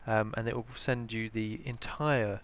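A man's voice: on the low side, fairly even intonation, a little slow. A British man giving scripted instructional narration as the presenter of a training tutorial. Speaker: information librarian, tutorial presenter.

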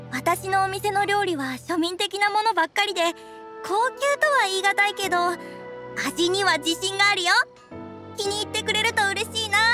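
A woman playing a friendly restaurant owner in an anime game, speaking in a familiar, confiding way. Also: very high-pitched. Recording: music playing behind the voice.

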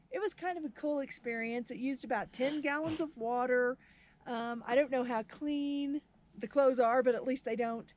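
Middle-aged woman, slightly high, chatty and upbeat, casual vlog, outdoor handheld camera. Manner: chatty, laughs easily, upbeat. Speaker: vlogger, history teacher. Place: outdoors at a windy off-grid camp. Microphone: camera at arm's length.

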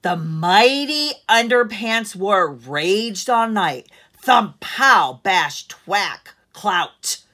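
A middle-aged woman reads a children's story aloud at home. Her voice is bright and forward, with lively rises and falls. She moves at an unhurried pace, stressing words like a storyteller. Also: medium pitch. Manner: deliberate storyteller phrasing with strong emphasis. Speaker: teacher reading aloud to children. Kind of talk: expressive picture-book read-aloud. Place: living room at home, seated in an armchair.

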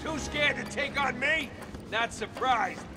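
An aggressive video game goon, yelling hostile taunts. His voice is pushed extremely high. Music is clearly audible behind the shouting. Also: takes it slowly. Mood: taunting. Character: aggressive male street thug yelling taunts in a brawl. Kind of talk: video game combat barks.

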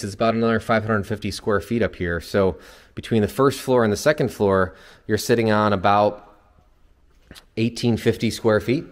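A man giving a casual, unscripted, explanatory house tour as a home builder's representative. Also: fairly low pitch. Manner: informal, explanatory. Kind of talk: casual unscripted walkthrough narration. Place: empty new house, indoors. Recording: echoey empty house interior, long reverb.

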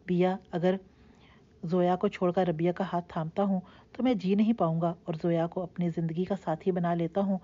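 A woman's voice: fairly low pitch, flat delivery, warm tone, muffled recording, lightly acted. A woman voicing a desperate, torn young man who pleads in anguish. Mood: pleading.

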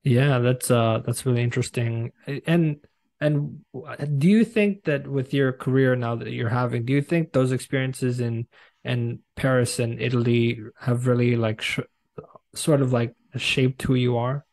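A man's voice: mid-range voice, fairly even intonation, unhurried, a little mellow. A young man hosting a podcast, interviewing a guest over a video call in an eager way, with stumbling restarts and fillers. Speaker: podcast host. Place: home room.

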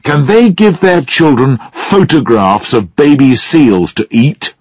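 A man's voice: low-pitched, sing-song, slow, breathy edge, no echo, muffled recording, full character voice. A man voicing a tired, sad older father, a biologist on the defensive, in an audiobook. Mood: insistent, irritated. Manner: weary, defensive, turning angry. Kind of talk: audiobook character dialogue.

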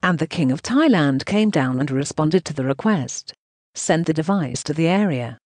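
Choppy synthetic news narrator, lively pitch. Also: moderate pace, no room sound. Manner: choppy, reads punctuation marks aloud. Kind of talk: synthetic voice reading translated news text.

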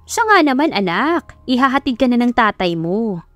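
Woman putting on a doting Filipina mother, high and fond. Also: very animated, normal speed, no room sound, faint music behind. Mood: coaxing. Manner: fond, coaxing. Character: doting middle-aged mother, proud and coaxing.

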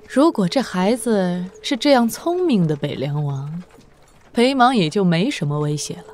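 An actress playing a shrewd, worldly, imperious woman sovereign in a martial-arts audio drama, with deliberate, sardonic delivery. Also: medium pitch. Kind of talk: Chinese wuxia audio drama acting.

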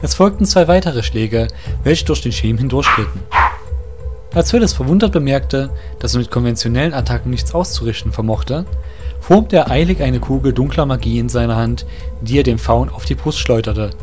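Guy narrating a fantasy audio drama, steady midrange voice, faint music under. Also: mid-range voice. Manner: storytelling, measured.

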